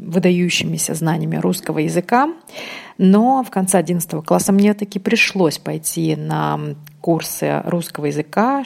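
A woman in her thirties from Uzbekistan, hosting a casual podcast monologue, thoughtful and often pausing with ums to correct herself. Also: deep voice, gravelly, darkish tone. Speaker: podcast host.

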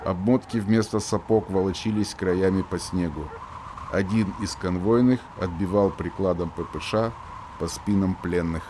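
A man's voice: sits low, fairly even intonation, moderate pace, a little mellow, a little hiss or hum. A man giving scripted dramatic narration for a radio play.

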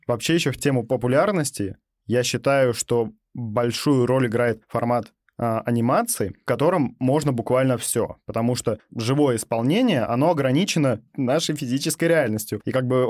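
Very fast-talking young man, dry close sound. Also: mid-range voice, darkish tone, no room sound. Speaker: podcast host. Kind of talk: casual panel discussion.

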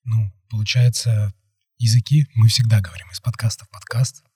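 A man's voice: fairly low pitch, little pitch movement, moderate pace, darkish tone, husky, no room sound. A young man hosting a casual podcast, talking in a rambling way full of fillers and hedges. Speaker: podcast host.

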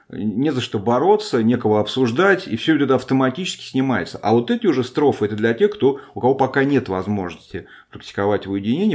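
Low-voiced man lecturing, thinking aloud. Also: a little mellow. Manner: thinking aloud, many fillers and restarts, self-deprecating. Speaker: podcast host teaching a Buddhist text. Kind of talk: informal spoken lecture.

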